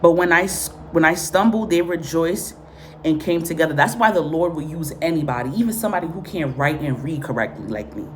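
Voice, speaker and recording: A low-pitched woman, raised in Guatemala and Honduras with Spanish as her first language, talks through a spiritual reading in a blunt, cursing, repetitive way. A faint hiss sits under her voice. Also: animated, a little fast. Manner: blunt, profane, emphatic and repetitive. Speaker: tarot and spiritual reader. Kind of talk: casual spoken reading with commentary.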